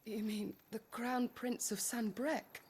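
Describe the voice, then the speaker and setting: An actress playing a devoted, watchful bodyguard who speaks quietly and respectfully in a video game cutscene. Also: female voice, fairly high pitch, steady tone, unhurried, a little hiss or hum, natural voice. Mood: concerned. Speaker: loyal attendant.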